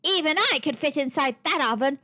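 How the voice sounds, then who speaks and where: A wicked old storybook witch, acted out by a woman, croaks and cackles in a high voice. She draws her words out slyly and swings her pitch around, pretending kindness while she schemes. The recording is slightly dull. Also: expressive, a little fast, sharp tone. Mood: impatient, menacing.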